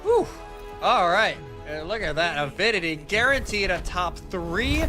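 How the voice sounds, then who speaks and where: A man's voice: sits high, very animated, unhurried, bright, forceful tone, faint music behind. A man giving live esports commentary and analysis in a conversational, explanatory way.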